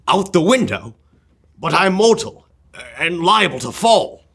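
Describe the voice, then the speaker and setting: A man playing a wistful, easily moved old miser in a radio play, halting and exclamatory. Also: high voice, big pitch swings, a bit bright, breathy edge, exaggerated voice. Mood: fearful, questioning. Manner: halting, exclamatory, stammering with emotion. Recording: music under the voice. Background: music.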